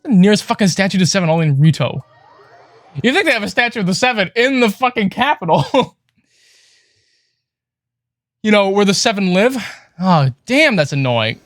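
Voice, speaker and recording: A man's voice: sits high, very animated, moderate pace, pauses often, no room sound. A man livestreaming a video game with casual, foul-mouthed, exasperated commentary. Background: video game music.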